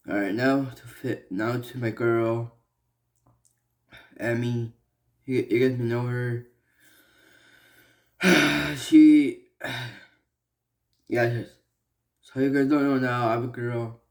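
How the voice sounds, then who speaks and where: A young man from Malaysia speaks slowly in a personal vlog. He talks earnestly, stopping and restarting often, padding his thoughts with fillers. His voice sits at an average pitch. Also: fairly even intonation. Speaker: vlogger.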